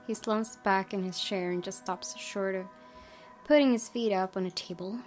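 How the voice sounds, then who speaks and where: A woman with a mid-pitched voice does let's play commentary and reads story text aloud. Game music is clearly audible behind her. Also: steady tone, moderate pace, darkish tone. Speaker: gaming video host.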